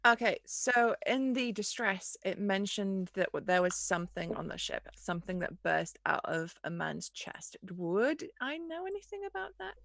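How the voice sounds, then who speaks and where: Female tabletop player, casual conversational delivery, mid pitch, very little room sound. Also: very animated, moderate pace, creaky, hardly any pauses, a bit bright, no room sound. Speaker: player in a tabletop role-playing game. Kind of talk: casual tabletop roleplay chat.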